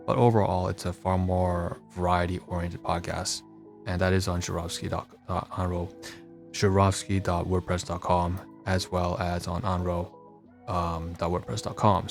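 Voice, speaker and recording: A man's voice: low-pitched, creaky. A man hosting a casual, unscripted screen-share walkthrough, rambling along and often correcting himself. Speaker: podcast host demonstrating his websites.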